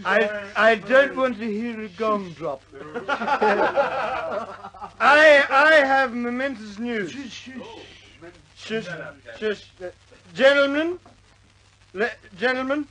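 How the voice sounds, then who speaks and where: A man's voice: very high-pitched, takes it slowly, long pauses, sharp tone, full character voice. An actor playing a drunk, boisterous RAF airman, rambling and stammering in 1950s war film style. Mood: tipsy, insistent. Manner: rambling, stammering, repeating himself. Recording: noisy crowded room, old film soundtrack.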